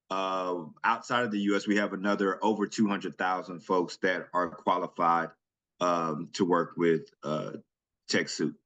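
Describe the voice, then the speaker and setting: A man presenting a nonprofit webinar over a video call, talking in a relaxed, chatty way with frequent ums and restarts. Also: deep voice. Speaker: senior director presenting a webinar. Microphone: computer mic on a video call.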